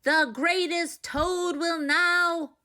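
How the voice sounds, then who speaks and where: A strange, otherworldly storybook announcer, voiced by a woman. The voice is lifted high and drags each word out slowly, grandly proclaiming as though from a distant stage. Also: steady tone, pushed and bright, full character voice. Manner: slow, grand, drawn-out proclaiming. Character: strange faraway announcer voice in a dream. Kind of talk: animated storybook read-aloud.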